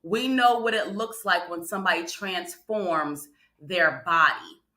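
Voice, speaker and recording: Bright, emphatic woman preacher in a roomy space. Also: fairly low pitch, a little slow. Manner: emphatic, repeats phrases, checks in with listeners. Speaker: guest preacher hosting a morning livestream. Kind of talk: motivational sermon to a live online audience. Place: indoor room on a livestream. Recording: roomy, echoing livestream audio.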